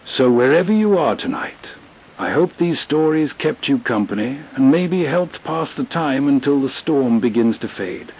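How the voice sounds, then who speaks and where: A man with a husky voice narrating gently and reflectively as a soothing, scripted nostalgia show host. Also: medium pitch, expressive, a little slow, poor audio.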